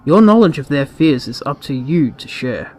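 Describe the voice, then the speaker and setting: A man reading a scripted horror story aloud in a deliberate, ominous, dramatic manner. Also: fairly high pitch, lively intonation, darkish tone. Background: background music.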